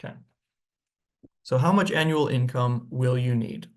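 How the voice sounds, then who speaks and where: A man's voice: medium pitch, unhurried. A man, a financial advisor, giving a webinar presentation in a conversational, explanatory way.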